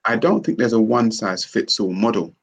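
Gravelly, husky skeptical man. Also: lowish voice, lively intonation, moderate pace, highs cut off. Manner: skeptical, questioning, argues with rhetorical questions. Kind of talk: casual conversation.

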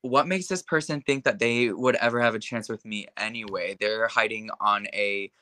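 A young man speaking in an interview, his voice pitched a little on the low side but with a bright, forward edge. He talks with heated conviction and scatters filler words as he goes. The sound is dry and close. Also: moderate pace, smooth, dry recording. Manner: impassioned, heavy use of filler words. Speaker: interview guest. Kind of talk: casual conversation.

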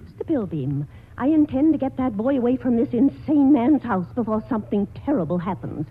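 An actress playing a shrewish, scheming aunt, prim and insistent, in a 1940s radio comedy. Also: female voice, on the high side, very animated, a little fast, husky. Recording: tinny, band-limited old radio broadcast.

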